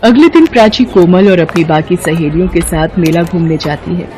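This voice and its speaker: A woman's voice: fairly low pitch, normal speed, a little mellow. A woman storyteller reading a scripted moral tale, with music behind her.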